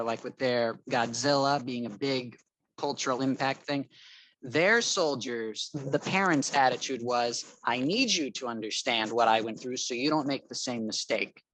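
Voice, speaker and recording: A man's voice: medium pitch, a bit bright, no room sound, poor audio. A young man from Thailand chatting casually, explaining his ideas in a rambling, tentative way. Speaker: chat participant.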